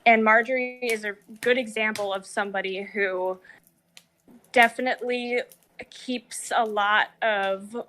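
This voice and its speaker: A woman's voice: medium pitch, steady tone, measured pace, pushed and bright. A woman giving reflective, analytical opinions in a casual discussion, feeling her way through her phrasing. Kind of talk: casual, thoughtful discussion of a TV series.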